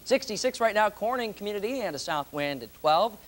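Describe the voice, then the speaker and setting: A man's voice: high voice, expressive, fairly quick, a little hiss or hum. A man presenting a live TV weather forecast as a meteorologist, in a conversational, ad-libbed way.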